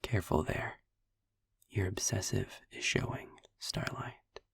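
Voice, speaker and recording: Casting: male actor as a weary, sarcastic captive demon for audio roleplay; low, gravel-edged voice, dry eloquence, very close and intimate. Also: fairly even intonation, no echo, whispered, heavily acted. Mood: sarcastic, needling. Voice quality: husky, breathy, gravelly low voice. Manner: dry, sardonic, articulate, deliberate. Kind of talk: audio roleplay, intimate slow monologue to the listener.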